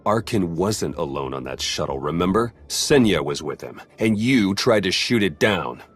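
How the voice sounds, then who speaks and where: A man's voice: sits low, animated, moderate pace, slightly rough. A man playing a blunt, reproachful crew member in a video game cutscene. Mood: accusing.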